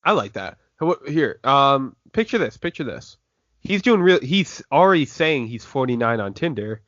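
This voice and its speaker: A man's voice: medium pitch, very animated, normal speed, fairly bright tone, no room sound. Young man, podcast host, reads news aloud, laughs easily, lo-fi sound, dry. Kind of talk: casual podcast chat. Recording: dull lo-fi dry recording.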